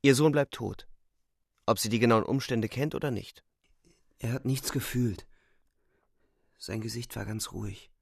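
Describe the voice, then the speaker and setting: A man's voice: medium pitch, a little slow, vocal fry, pauses often, slightly rough, no echo, studio quality. An actor playing a weary, alienated young soldier, narrating his inner thoughts in a brooding, intense radio drama monologue. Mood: sombre, strained. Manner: brooding, intense, insistent repetition.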